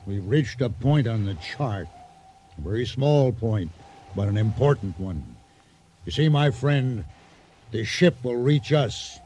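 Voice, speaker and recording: An actor playing an assured, commanding seagoing associate who talks with easy familiarity in a radio drama. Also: male voice, mid-range voice, lively intonation, slow pace, noisy background. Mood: knowing. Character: confident, smooth-talking seagoing associate with secret plans. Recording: radio drama with music underneath.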